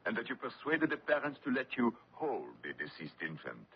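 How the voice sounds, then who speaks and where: An actor playing a menacing inquisitor with mock courtesy and a pompous, insinuating manner in a radio drama. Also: male voice, mid-range voice, sing-song, moderate pace, a little hiss or hum. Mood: coldly insinuating. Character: sly, menacing male inquisitor with mocking courtesy. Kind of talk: old-time radio drama acting. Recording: dull, muffled old radio recording.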